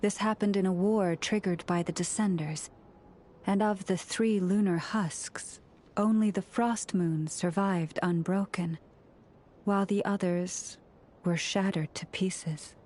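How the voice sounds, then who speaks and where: An actress playing a solemn, gentle keeper of ancient lore in a game cutscene, telling legends in a measured, storytelling way. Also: female voice, mid-range voice, steady tone, close to an everyday voice. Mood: grave, wistful. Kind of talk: scripted game cutscene narration.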